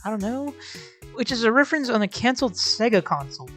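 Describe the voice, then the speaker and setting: A woman's voice: fairly high pitch, very animated, fast talker, soft background music. A woman hosting a video essay, giving casual scripted commentary with wry, sarcastic asides and informal, sweary phrasing.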